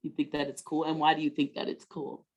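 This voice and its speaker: A woman's voice: sits low, monotone, fast talker, husky, highs cut off. A woman hosting a webinar for children, talking conversationally in an encouraging, teacher-like way with leading questions. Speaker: host of a children's story time webinar.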